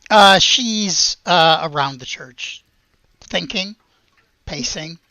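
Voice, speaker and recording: A game master narrating a tabletop roleplaying game in a halting way, searching for words. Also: mid-range voice, lively intonation, sharp tone, dry recording.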